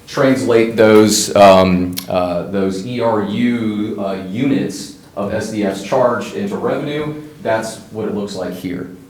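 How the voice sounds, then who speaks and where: A man presenting revenue figures at a utility board meeting, halting with many fillers. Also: fairly low pitch, darkish tone, echoey room. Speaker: utility finance staff presenting.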